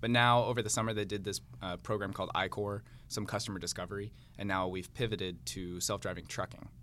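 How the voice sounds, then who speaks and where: A man's voice: lowish voice, steady tone, fairly quick, sharp tone, a little hiss or hum. A young man from the United States, an MBA student guest, talking in a laid-back way with dry humor. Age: young adult. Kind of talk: casual interview chat.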